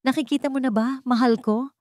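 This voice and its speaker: Woman voicing calm, menacing, possessive Filipino man. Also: sits high, brisk, no room sound, studio quality, pitched above the performer's own voice, lightly acted. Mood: taunting. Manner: calm, coaxing, condescending. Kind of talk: dramatized story dialogue.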